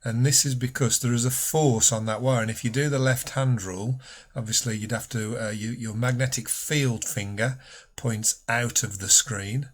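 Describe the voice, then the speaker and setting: A man's voice: medium pitch, steady tone, moderate pace. A man speaking as a physics teacher, explaining a school lesson patiently and informally, step by step.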